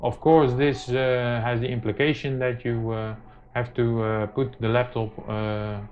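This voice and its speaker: Male tutorial presenter, informal, unhurried and hesitant, on a slightly muffled mic. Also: mid-range voice, little pitch movement, a little hiss or hum. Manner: halting, very frequent hesitations. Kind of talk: informal hands-on tutorial narration. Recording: muffled lo-fi mic, music behind.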